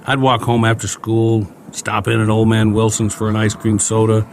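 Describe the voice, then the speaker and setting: An actor playing a chatty, wry American soldier in a radio drama, talking in a folksy, rambling, joking way. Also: male voice, lowish voice, completely flat delivery, normal speed, slight background noise, lightly acted. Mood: nostalgic. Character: chatty, wry, friendly American soldier, lonely war survivor.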